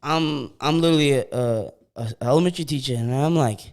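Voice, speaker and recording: A man's voice: medium pitch, brisk. A young man in his twenties co-hosting a podcast in casual chat. He talks in slang, often starts sentences again, and acts out what people said. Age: 20s. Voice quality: repeats words when starting sentences. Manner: animated storytelling, slangy, often acts out quotes. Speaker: podcast co-host.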